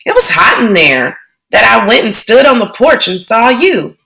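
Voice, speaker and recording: A woman's voice: a little high, big pitch swings, moderate pace, bright, forceful tone, exaggerated voice, low-quality recording. Woman voicing a dazed young boy.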